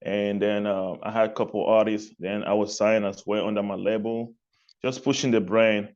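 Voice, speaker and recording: A man from Cameroon, answering interview questions in a relaxed way, repeating phrases and laughing lightly between points. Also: lowish voice, moderate pace, highs cut off. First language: not English. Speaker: guest, music artist and label executive.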